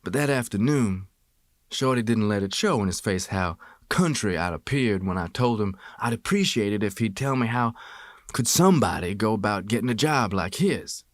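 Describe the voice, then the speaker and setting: An American man reading a first-person memoir as an audiobook narrator, with expressive, measured storytelling. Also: lowish voice, no room sound, clean studio sound.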